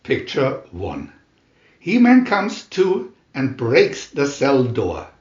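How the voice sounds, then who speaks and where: Slow, theatrical man reading in a roomy space. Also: mid-range voice, huge pitch swings, darkish tone, highs cut off. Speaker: reader of picture-reel story booklets. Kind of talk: dramatic scripted storybook narration.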